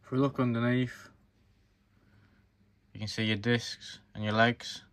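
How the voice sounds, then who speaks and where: A man's voice: mid-range voice, level tone, a little slow, fairly bright tone. A man presenting a hands-on review in an informal, explanatory way, talking directly to viewers. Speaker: presenter of a farm model review channel. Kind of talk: casual hands-on review narration.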